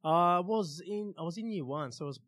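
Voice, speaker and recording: A man with an Australian accent telling personal stories as an interview guest, in casual, halting phrases with pauses. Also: high-pitched, expressive, fairly bright tone, dry recording, studio quality. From Australia, born in Iran. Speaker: interview guest, stunt pilot and motivational speaker.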